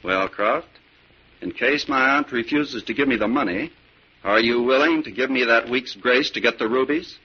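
An actor playing a suave, scheming young heir in a 1940s radio drama, with a theatrical, calculating manner. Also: male voice, medium pitch, normal speed, slightly rough, lightly acted. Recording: muffled old 1940s radio recording.

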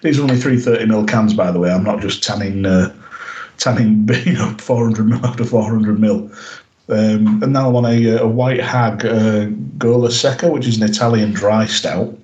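Man, low pitch, casual podcast recap, filler-heavy rambling. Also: fairly even intonation, normal speed, darkish tone, reverberant, highs cut off. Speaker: podcast co-host. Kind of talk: casual episode recap chat.

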